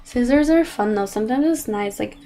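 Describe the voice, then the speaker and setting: A young British woman with a faint Australian twang and a slightly high voice. She rambles in loose, chatty streaming talk, restarting her sentences often. A soft hiss sits faintly under her voice. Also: animated, a little fast. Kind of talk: casual chat while painting and reading chat.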